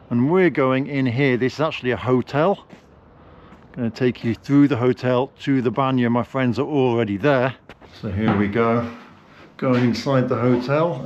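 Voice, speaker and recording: A man's voice: medium pitch, highs cut off, slight background noise. A man with a British accent hosting a casual vlog, chatting loosely with plenty of fillers as he walks through a hotel and sauna, recorded on a handheld camera. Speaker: vlog host. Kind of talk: casual walking-tour vlog. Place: indoors, hotel and sauna cellar.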